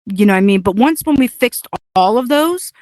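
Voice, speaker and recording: A woman's voice: on the high side, expressive, fairly quick, a little mellow, no echo. A woman whose first language is Hungarian, chatting casually about building a game, rambling with many fillers and laughs. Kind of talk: casual chat.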